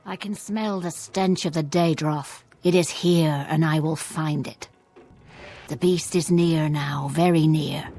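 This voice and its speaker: An actress playing a grim, determined warrior hunting a beast in a fantasy video game. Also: female voice, low voice, steady tone, slow pace, vocal fry, dark tone, soft background music, exaggerated voice. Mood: grim, wary.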